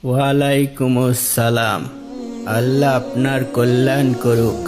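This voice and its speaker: A man voicing a wise, humble older judge in a moral cartoon, speaking in a measured, solemn way. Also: mid-range voice, flat delivery, over music, lightly acted. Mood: solemn, gentle. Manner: measured, solemn, deliberate delivery. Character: wise, humble male judge, elderly uncle, calm and principled. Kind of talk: Islamic moral cartoon dub.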